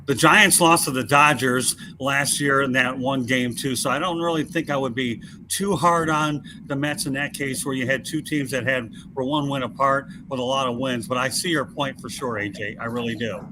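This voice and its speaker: A man on a sports talk show who offers counterpoints in an easy, conciliatory way. There is clear background noise all over the recording. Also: mid-range voice, steady tone, normal speed, few pauses. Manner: agreeable, conciliatory. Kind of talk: casual sports debate.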